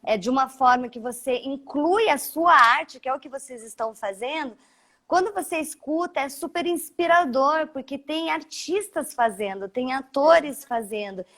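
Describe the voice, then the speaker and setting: Brazilian woman doing a relaxed chatty interview, pretty high voice. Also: moderate pace. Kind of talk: casual interview chat. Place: video call.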